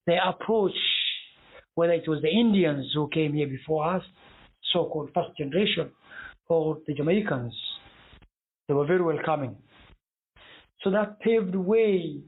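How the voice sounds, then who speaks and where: A man's voice: fairly high pitch, husky. A man from Somalia who was brought up in Denmark, explaining things conversationally as an interview guest, on a muffled, narrow recording. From born in Somalia, brought up in Denmark. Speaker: interview guest, consultant and PhD student.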